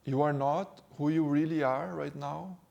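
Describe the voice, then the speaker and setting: Slow, gentle Brazilian man with a calm voice. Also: fairly high pitch, creaky, lo-fi sound. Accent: Brazilian Portuguese. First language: Portuguese. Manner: slow, gentle, with pauses and non-native phrasing. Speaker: spiritual teacher. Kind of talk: calm spiritual talk to camera. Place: sitting indoors.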